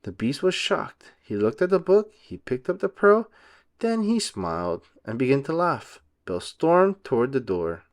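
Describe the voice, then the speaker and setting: A man giving an expressive, deliberate storybook narration for children. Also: mid-range voice. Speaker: read-aloud narrator for children.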